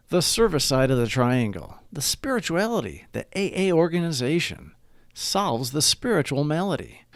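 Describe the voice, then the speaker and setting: A man reading a scripted reflection aloud in a measured, deliberate way, with pauses between phrases. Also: medium pitch, dry recording, clean studio sound. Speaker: narrator of a daily recovery reading. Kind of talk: scripted read-aloud narration.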